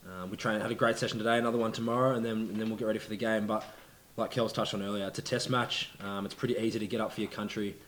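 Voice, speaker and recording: A young rugby player answering press questions earnestly, with many fillers. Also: male voice, on the low side, fairly even intonation, very fast, fairly bright tone, slight background noise.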